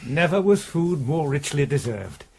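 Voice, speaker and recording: A man's voice: fairly high pitch, very animated, measured pace, a little mellow, a little hiss or hum. A man playing a kindly, anxious, devout head of a priory in a period radio drama, speaking earnestly.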